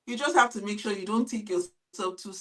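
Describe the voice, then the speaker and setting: A Nigerian woman, a teacher giving lively, laughing advice in a casual panel chat. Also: medium pitch, brisk, far from the mic. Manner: animated, laughing, mimics pupils.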